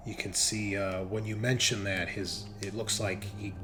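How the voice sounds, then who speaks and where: A man's voice: fairly low pitch, fairly even intonation, normal speed, noisy background. A man narrating a tabletop roleplaying game casually as its game master.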